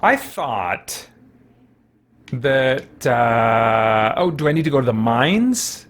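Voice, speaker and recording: A man's voice: mid-range voice, very animated, extremely slow, a bit bright. A middle-aged man chatting casually as he hosts a game livestream, thinking aloud in a rambling way. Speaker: livestream host playing a video game. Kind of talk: casual live game commentary and chat. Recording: noticeable background noise.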